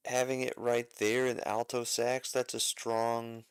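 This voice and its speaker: A man's voice: mid-range voice, completely flat delivery, unhurried, clean tone, no room sound, clean studio sound. A man giving a casual music arranging lesson, thinking aloud as he works, with frequent fillers and self-corrections.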